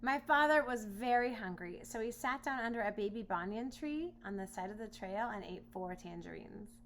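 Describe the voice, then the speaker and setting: A woman reading a children's storybook aloud in expressive narration. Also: mid-range voice, normal speed. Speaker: storybook reader. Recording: noisy home recording with hum.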